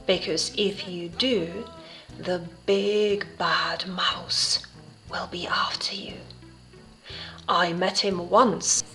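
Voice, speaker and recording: A woman's voice: fairly low pitch, steady tone, bright, forceful tone, soft background music, exaggerated voice. A woman voicing a big monster father in a storybook, giving grave, dramatic warnings to his child. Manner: slow, ominous, dramatic. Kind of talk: storybook read-aloud for children.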